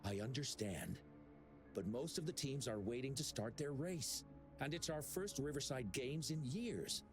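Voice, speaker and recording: An actor as a young male official from a video game, with an animated, rising and falling delivery. He is courteous, earnest and slightly hesitant, with music clearly playing behind him. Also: medium pitch, measured pace, natural voice. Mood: earnest, apologetic.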